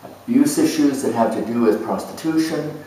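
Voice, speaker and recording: A man performing a first-person character monologue in a deliberate, measured, theatrical way with emphatic phrasing. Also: medium pitch, dark tone. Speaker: astrologer dramatizing zodiac character types. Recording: echoey room, distant microphone.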